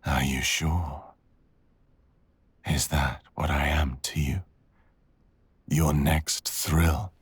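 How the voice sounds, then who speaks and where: Casting: male actor for menacing dragon villain in intimate ASMR roleplay, extremely low husky voice, very slow seductive delivery. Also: fairly even intonation, no room sound, exaggerated voice. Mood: amused, seductive. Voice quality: gravelly, husky, breathy growl. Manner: slow, deliberate, teasing drawl with murmurs.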